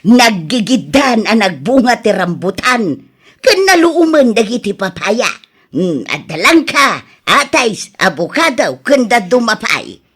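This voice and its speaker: A woman's voice: fairly high pitch, big pitch swings, a little slow, a bit bright, husky, exaggerated voice. A woman with an Ilocano accent playing an exasperated, pleading village wife in a radio drama. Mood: welcoming.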